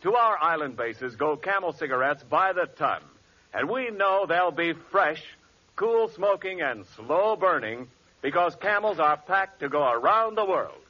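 A man's voice: fairly high pitch, expressive, measured pace. A man reading a radio advertisement in an earnest, polished announcer style. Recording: dull vintage radio broadcast.

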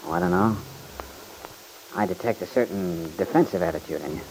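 A man's voice: deep voice, a little fast, vocal fry, darkish tone. An actor playing an easygoing, modest young country man with an American accent, folksy and a little bashful, in old-time radio drama. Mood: gently probing. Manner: folksy, slightly bashful, hesitant. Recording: noisy vintage radio recording.

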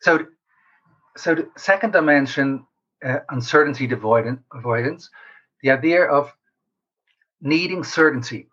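A man answering interview questions as a researcher, explaining in a measured way with pauses mid-sentence. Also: mid-range voice, fairly bright tone. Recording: slightly dull lo-fi call audio.

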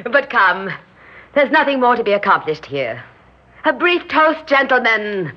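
Grand, theatrical faded beauty. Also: female voice, a little high, big pitch swings. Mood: grandly regal. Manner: theatrical, imperious, dramatic pauses. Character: grand, vain, faded society beauty confined in an asylum. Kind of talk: 1960s radio drama acting. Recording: dull vintage radio recording.

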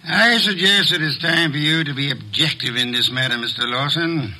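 An actor playing a pompous, exacting master detective in an old radio drama, with formal, precise diction. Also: male voice, a little high, normal speed, bright, forceful tone, poor audio. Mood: measured, assured.

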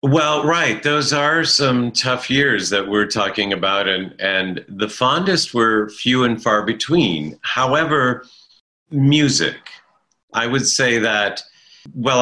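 Man, bright forceful voice, thoughtful, self-deprecating, halting restarts. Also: fairly low pitch, rough recording. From Italian-speaking family in America. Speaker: interview guest, stage performer. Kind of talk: reflective conversational storytelling.

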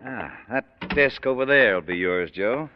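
An actor playing a smooth-talking, scheming middle-aged American family man and boss in an old-time radio drama. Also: medium pitch, lively intonation, unhurried, a little hiss or hum, lightly acted. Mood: persuasive. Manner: persuasive, reassuring, evasive. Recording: dull, muffled vintage radio recording.

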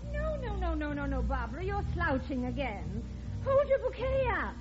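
A snooty, nagging society mother in an old radio comedy. Her high voice swoops as she fusses imperiously, on a dull recording. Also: wildly swooping pitch, normal speed, loud music behind the voice. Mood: exasperated, bossy. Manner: fussy, imperious, condescending. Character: snobbish, nagging mother of the groom. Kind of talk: classic radio comedy acting.

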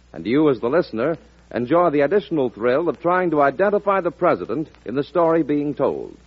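An American man reading a scripted, earnest promotional announcement in 1940s radio style, with a husky voice. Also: a little high. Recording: dull old radio recording.

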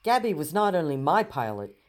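Woman as a very deep-voiced defiant captain. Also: big pitch swings, fairly quick, a little mellow. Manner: curt, guarded. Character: defiant, bitter female canoe captain mourning her pilot. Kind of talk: scripted sci-fi audio drama. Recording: faint watery background noise.

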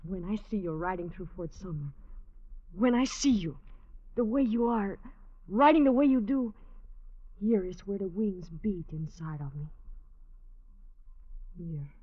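A woman's voice: mid-range voice, expressive, a little slow, long pauses. An actress playing a tender, teasing young woman in love, with a Mexican accent and intimate phrasing, in a radio drama. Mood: passionate. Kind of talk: 1950s radio drama acting. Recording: muffled old radio recording.

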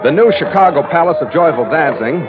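An actor playing a sardonic, hard-boiled seafaring adventurer in a 1940s-style radio drama, wry and clipped. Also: male voice, fairly high pitch, expressive, quick pace, natural voice. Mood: wry narration. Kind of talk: 1940s radio acting, first-person narration. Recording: tinny old radio, music behind.

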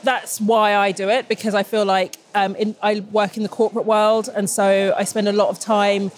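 A woman roller derby player answers slowly and thoughtfully, pausing and restarting as she goes. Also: mid-range voice, flat delivery, slight background noise. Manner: thoughtful and hesitant. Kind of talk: casual interview answers.

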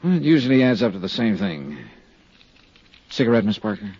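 An actor playing a cool, probing insurance investigator in a 1950s radio drama, asking measured, courteous, insinuating questions. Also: male voice, lowish voice, sing-song, darkish tone, breathy edge. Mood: calm, courteous. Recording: dull, dry 1950s radio recording.

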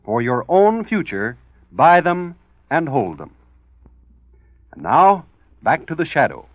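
A man's voice: on the high side, very animated, slow, no echo. A male radio announcer reading a scripted public-service appeal in 1940s style. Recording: muffled dry vintage radio recording.

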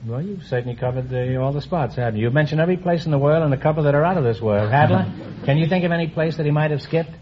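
A man hosting a quiz show, teasing his guests with quick jokes and puns. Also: on the high side. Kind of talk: wisecracking quiz show banter. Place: radio studio with live audience. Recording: dull old radio broadcast with hiss. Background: audience laughter.

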